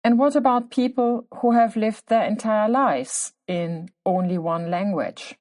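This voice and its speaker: A woman's voice: medium pitch, measured pace, darkish tone. German-accented woman hosting a podcast. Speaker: podcast host. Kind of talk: scripted solo podcast narration.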